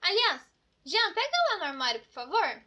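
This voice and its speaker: High, bright, excitable cartoon girl, Brazilian accent. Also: moderate pace, full character voice. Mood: fretting. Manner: dramatic, expressive, exclamatory. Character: excitable, scatterbrained, over-prepared young female best friend. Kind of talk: children's cartoon comedy voice acting.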